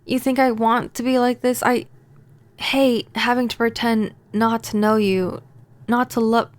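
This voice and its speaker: A woman playing a pining, possessive vampire neighbor in a soft-spoken, intimate ASMR roleplay, gentle and halting. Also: a little high, little pitch movement, no echo, lightly acted. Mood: pained, yearning.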